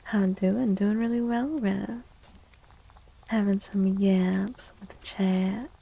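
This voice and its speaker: A woman livestreamer doing soft-spoken ASMR, gently chatting to viewers in a hushed voice that often slips into whispers, close to the microphone. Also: medium pitch, creaky, slightly rough, rough recording. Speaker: livestreamer hosting an ASMR stream.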